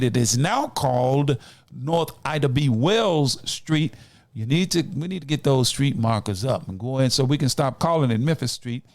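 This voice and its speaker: A man's voice: medium pitch, no echo. A man of nearly sixty, hosting a community podcast and addressing listeners in an exhortatory way. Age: late 50s, nearly 60. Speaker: podcast host. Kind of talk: community podcast talk with announcements and shout-outs.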